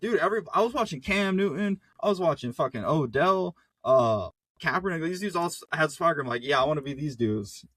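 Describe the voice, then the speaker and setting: Young man with a husky voice, chatting. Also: fairly high pitch, lively intonation, a little fast, few pauses, a bit bright, no room sound. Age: young adult. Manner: slangy, lots of quoted asides. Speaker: podcast co-host. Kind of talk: casual chat, storytelling.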